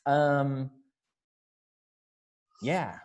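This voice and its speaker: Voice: mid-range voice, extremely slow, a little mellow, smooth. An American readers theater director coaching casually over a video call, encouraging and hesitant in phrasing. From Springfield, Missouri, United States.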